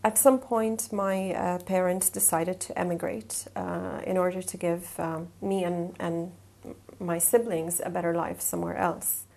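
A woman's voice: lowish voice, little pitch movement, unhurried, creaky, darkish tone. A woman from Jerusalem answering personally in an interview, reflective and hesitant in her phrasing. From born in Jerusalem, Palestinian, later emigrated.

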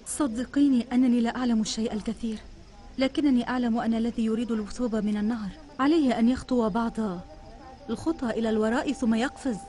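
A woman's voice: fairly high pitch, fairly even intonation, dark tone, natural voice. An actress playing a composed, loyal noblewoman in a dubbed historical drama, speaking with firm reassurance over faint noise. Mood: calm, knowing. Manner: reassuring, firm, measured in wording. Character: steadfast, wise, composed noblewoman loyal to her war leader.

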